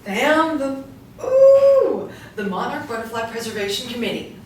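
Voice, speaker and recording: Echoey, animated woman acting a fussy society clubwoman. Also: on the high side, a little slow. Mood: delighted. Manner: gushing, fretful, given to cooing and correcting. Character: fussy, eager society clubwoman, retired school teacher. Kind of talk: staged script reading. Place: small room, seated reading from pages. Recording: echoey room, distant mic, faint noise.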